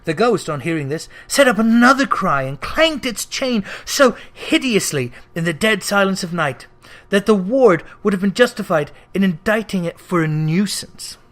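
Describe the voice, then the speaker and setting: A man's voice: high voice, expressive, moderate pace, a bit bright. A man narrating a classic literary tale in a dramatic storytelling style. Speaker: audiobook narrator.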